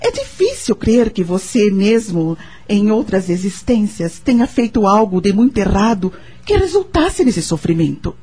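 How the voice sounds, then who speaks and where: An actress playing a devout, tactful young Brazilian wife, speaking sincerely and a little tentatively in a radio drama. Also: female voice, medium pitch, very animated, moderate pace, few pauses, dark tone, husky. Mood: confiding, tentative. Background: background music.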